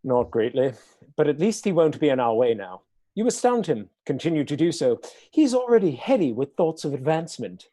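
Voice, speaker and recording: An actor playing a cold, scheming noble earl in a radio play, speaking with smooth, condescending menace. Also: male voice, a little high, big pitch swings, brisk, dark tone. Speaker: villainous earl.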